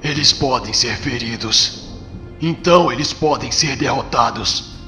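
Man playing a slow, solemn warrior god. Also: medium pitch, bright, forceful tone. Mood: defiant. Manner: solemn, formal, archaic phrasing. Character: proud, defiant warrior god hero. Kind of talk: dramatic motion comic dub. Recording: long reverb with music underneath.

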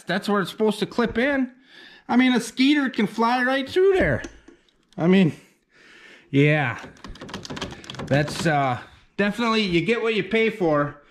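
A man's voice: high voice, slight background noise. A man, chatting casually in a folksy, self-deprecating, rambling way as he shows his building work. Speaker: homesteader vlogging his barn build. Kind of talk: casual walk-through chat. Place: outdoors at a rural building site and inside the wooden building.

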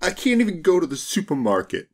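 A man's voice: sits high, wildly swooping pitch, fast talker, voice pushed up, heavily acted. A man voicing a disgruntled, sardonic male office outlaw in an audiobook, grumbling and exasperated. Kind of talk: audiobook character dialogue.

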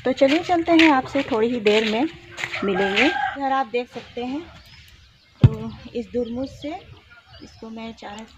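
A woman's voice: a little high, measured pace. A young woman from Jharkhand, India, vlogging her chores in a village yard, talking casually into a phone held at arm's length. She sounds tired from a day of physical work. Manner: chatty, showing chores while walking and working. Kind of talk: casual vlog narration to camera. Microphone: phone camera at arm's length. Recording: dull lo-fi phone audio with clear outdoor noise.

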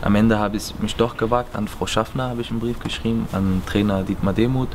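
Flat-voiced young man outdoors. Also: fairly low pitch, brisk. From Berlin, Germany. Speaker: footballer. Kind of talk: interview answers. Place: empty stadium stands, outdoors. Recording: noisy outdoor stadium recording.

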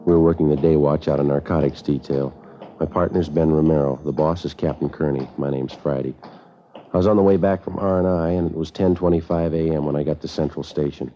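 An American actor playing a terse, deadpan male police sergeant in a 1950s radio drama, clipped and matter-of-fact. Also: rumbling low, a little fast, a little hiss or hum. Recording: dull vintage radio recording with music.

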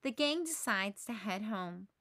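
A woman narrating a children's storybook aloud in a measured, expressive way. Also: mid-range voice, dry recording, studio quality.

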